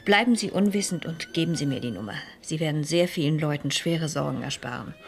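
An actress playing a shy but determined schoolteacher turned amateur sleuth in a radio play, speaking politely and persuasively. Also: female voice, low voice, wildly swooping pitch, a little fast, creaky, full character voice. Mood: polite, insistent. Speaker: narrator and lead character. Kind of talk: 1970s German radio play acting.

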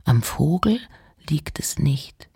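An actress playing an introspective nineteenth-century woman poet in a radio play, reciting in a breathy, hushed, deliberate way with pauses between short phrases. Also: low voice, expressive, exaggerated voice. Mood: hushed, pensive. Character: Emily Dickinson, introspective 19th-century American woman poet. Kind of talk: radio play, poetic recitation of poems and letters.

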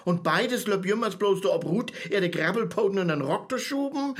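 An actor playing an earnest, easily embarrassed softie in a conversational radio comedy, defensive, with a Northern German accent. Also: male voice, high-pitched, expressive, moderate pace.

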